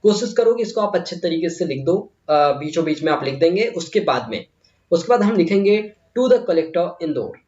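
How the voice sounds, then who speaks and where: A man's voice: on the high side, lively intonation, moderate pace, darkish tone. A man with an Indian accent giving a step-by-step English exam lesson as a teacher, repeating phrases and asking himself questions as he instructs. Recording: muffled, echoey room.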